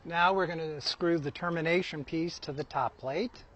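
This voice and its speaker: A matter-of-fact man, an installer, explaining each step as he works outdoors, with some hesitant pauses. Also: fairly high pitch, lo-fi sound, very noisy. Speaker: stove and fireplace installer. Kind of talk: step-by-step explanation while working. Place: outdoors on a rooftop.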